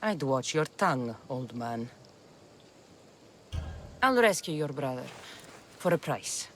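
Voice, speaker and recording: A woman's voice: a bass voice, expressive, slow, slight background noise, heavily acted. An actress playing a tough, wry young mercenary woman with a Greek accent, dry and self-assured, in a video game cutscene.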